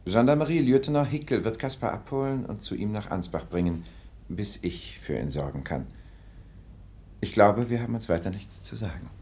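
Grand, swooping aristocratic lord. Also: male voice, fairly low pitch, expressive, normal speed, darkish tone. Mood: coldly dismissive. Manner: grand, formal, theatrical. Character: haughty, magnanimous English lord, decisive and cutting. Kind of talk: German radio drama acting.

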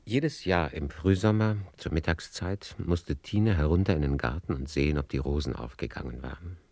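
Low-voiced man narrating, very dry sound. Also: creaky, a little mellow, no room sound. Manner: measured, lyrical storytelling. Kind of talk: literary narration in a radio play.

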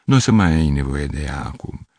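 A man doing a calm, coaxing young woman. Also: very deep voice, no room sound, studio quality, exaggerated voice.

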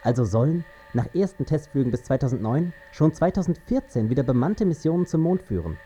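A man's voice: medium pitch, big pitch swings, fairly quick, warm tone, husky. An actor playing a male astronaut crewman in a radio play, reciting in a matter-of-fact way like an announcement. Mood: factual. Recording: dull sound with faint music.

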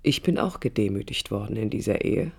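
An actress playing a disillusioned young divorced woman, recounting her past in a hushed, reflective, confiding radio play monologue. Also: rumbling low, lively intonation, fairly quick, exaggerated voice. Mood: bitter, searching. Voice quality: husky, breathy. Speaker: narrator and protagonist. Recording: music under studio radio drama. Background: music.

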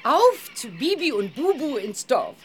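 Gushing lady photographer, high, hugely animated, drawn-out delivery, children's audio play, noisy background. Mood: breezy. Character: excitable, gushing woman press photographer. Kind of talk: German children's audio drama acting.

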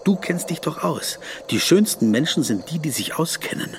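An actor in a radio play voicing a pensive, word-obsessed lawyer lost in reverie, speaking in halting, intimate, poetic musings. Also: male voice, fairly high pitch, animated, slight background noise. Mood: earnest.

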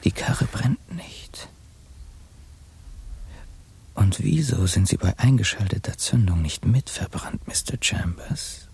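An actor playing a scheming man muttering his nervous, murderous inner thoughts in a German radio play, drawn-out and intense. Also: fairly low pitch, expressive, creaky, darkish tone, slightly rough, in a whisper, heavily acted. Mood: mocking.

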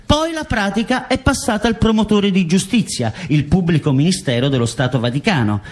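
Actor as a high-pitched, pompous church official. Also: male voice, animated, fairly quick. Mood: formal, official. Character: formal, pompous Vatican official reading legal findings. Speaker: stage actor in a documentary theatre play. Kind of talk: theatrical reading of official statements.

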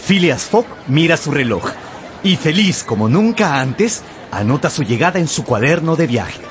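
A man's voice: on the high side, big pitch swings, moderate pace. A man narrating a radio drama with theatrical, emphatic storytelling. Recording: music behind the voice.

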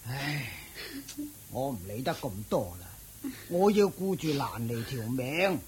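Anxious wealthy old father, Hong Kong Cantonese radio play; lamenting, unhurried, very varied melody. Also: medium pitch, sing-song, a little mellow, a little hiss or hum. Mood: troubled, regretful. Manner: sighing, lamenting, drawn-out delivery. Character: distraught rich old master of the house, anxious father. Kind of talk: Cantonese radio drama acting.

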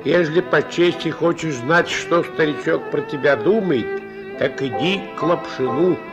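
An actor playing a worn-out old ex-convict in a radio play, sly and meek, talking in folksy thieves' talk with wheedling pet words. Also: male voice, fairly high pitch, unhurried, lightly acted. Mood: sly, admonishing. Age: older. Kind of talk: mid-century radio play acting. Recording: old radio recording with music behind.

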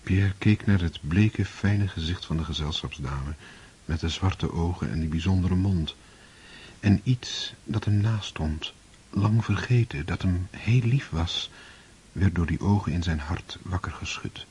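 A man narrating a radio drama in a slow, grave, measured way. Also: sits low, darkish tone, slight background noise.

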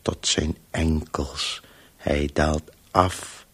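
An actor playing a weary, disembodied Voice in a radio play, obsessively telling a story in halting, clipped, sometimes breathy fragments. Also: male voice, a bass voice, steady tone, full character voice. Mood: grim, labouring.

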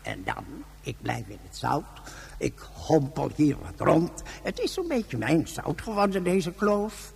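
A stubborn, philosophising old hermit in a sci-fi radio play. The voice is fairly high and gravelly, swinging freely as he rambles in gruff slang. There is faint noise behind him. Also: big pitch swings, unhurried, a little mellow, heavily acted. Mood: musing, stubborn. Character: stubborn ageing hermit of the salt gorge, philosophising. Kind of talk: Dutch science fiction radio drama acting.

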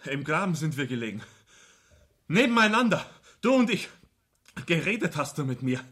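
A wounded soldier in a radio play, rants in feverish fury. His voice is high, sharp and swings wildly as he stammers out accusations. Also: sing-song, normal speed, slightly rough, exaggerated voice. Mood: furious, accusing. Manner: ranting, accusing, stammering in rage. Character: furious feverish wounded soldier in a field hospital.

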